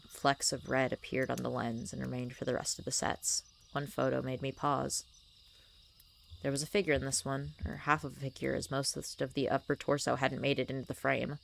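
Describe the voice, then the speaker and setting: A young woman tells a horror story in a slow, hushed way. Her voice sits very low and has a rough, gravelly edge. The scratchiness of her voice runs under every line. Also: a little hiss or hum. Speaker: co-host reading a horror story. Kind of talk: slow scripted horror narration.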